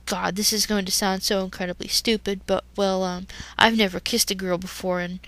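A woman's voice: mid-range voice, little pitch movement, moderate pace, a bit bright, no room sound, close to an everyday voice. A woman voicing a nervous teenage boy who stammers and hesitates. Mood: flustered. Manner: stammering, flustered.

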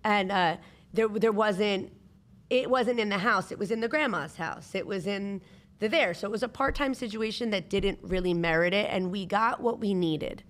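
A woman's voice: medium pitch, moderate pace, fairly bright tone. A woman chatting candidly as a podcast guest, emphatic and repeating phrases for effect, close to a studio microphone. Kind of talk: casual candid panel chat. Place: podcast studio with brick walls.

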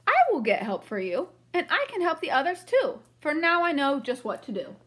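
A woman's voice: sits high, big pitch swings, moderate pace, fairly bright tone, voice pushed up. A woman voicing an earnest little boy in a rhyming picture book, in a sing-song manner. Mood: eager. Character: little boy calling out for help.

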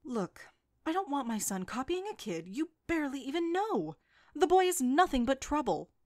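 Woman as disapproving mom, audiobook drama, high voice, very lively intonation, nagging. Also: normal speed. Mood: stern disapproval. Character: nagging, disapproving mother of a teenage boy.

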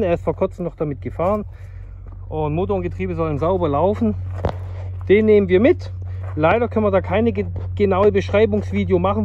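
A man's voice: high-pitched, a little mellow, highs cut off, slight background noise. A man in his thirties talks casually and eagerly to his viewers on a phone camera, outdoors at a junkyard and inside a car. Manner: chatty, enthusiastic, addresses viewers directly. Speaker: classic car dealer. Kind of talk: casual vlog commentary.

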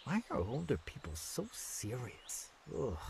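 A woman voicing a confused, wondering young child in a video game cutscene, musing aloud in a halting, emotional way. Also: extremely low pitch, wildly swooping pitch, creaky, darkish tone, a little hiss or hum, exaggerated voice. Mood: curious, musing.

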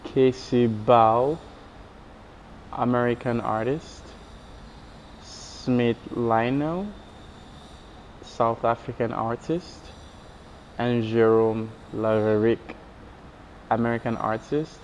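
A man voicing interview answers as an interpreter, in a measured read-out style. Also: medium pitch, fairly even intonation. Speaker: interpreter voicing a deaf artist's signed answers. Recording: dull, noisy recording.

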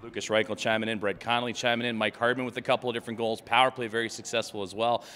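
Bright, fast-talking sports interviewer man. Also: medium pitch, level tone. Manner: long, stat-filled, upbeat questions. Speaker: team broadcaster interviewer.

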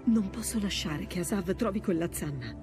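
A determined female treasure hunter with a sardonic edge, played by a woman. She sounds natural and mid-pitched, like video game drama, with prominent music underneath. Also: lively intonation, moderate pace, lightly acted. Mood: resolved.

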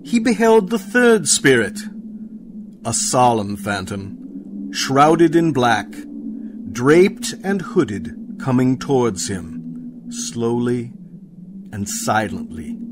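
A man narrating a story dramatically from a script. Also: mid-range voice. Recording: clear background noise.

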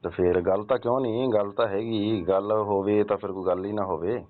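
A man's voice: on the low side, fairly even intonation, a little fast, close to an everyday voice. A man playing a wheedling, insistent elderly father-in-law in a staged phone call, pressing and nagging with grunted question tags. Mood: reproachful, pressing. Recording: tinny narrow-band phone call.

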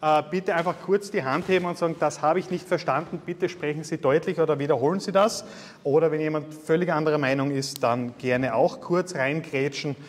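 A man's voice: on the high side, brisk. A man in his thirties with a Styrian Austrian accent, giving a university guest lecture in a chatty, self-deprecating way with many filler sounds. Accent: Styrian Austrian German. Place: large lecture hall. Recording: reverberant lecture hall.

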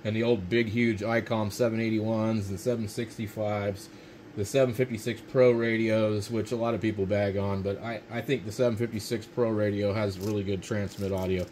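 A man's voice: fairly low pitch, little pitch movement, normal speed, darkish tone. A man with an American accent, chatting casually as an amateur radio operator at an indoor desk. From United States. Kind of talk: casual ham radio chat. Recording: faint radio hiss and room noise.

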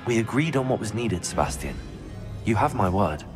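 A man voicing a calm, persuasive young student wizard in a video game, with a British accent and a measured, reassuring manner. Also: lowish voice, music in the background.

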